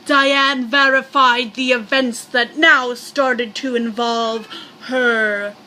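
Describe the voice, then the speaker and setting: A woman reading a scary story aloud in a theatrical, halting, playful amateur way, projecting her voice. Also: a little high, fairly even intonation. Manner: projecting loudly.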